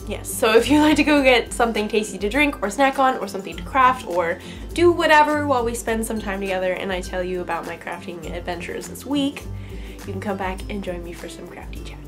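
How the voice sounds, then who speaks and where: A woman's voice: medium pitch, fairly quick, fairly bright tone, faint music behind. A young woman host with a stuffy, allergy-congested voice, chatting casually and upbeat about her crafting week. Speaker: podcast host. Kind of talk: casual crafting chat to camera.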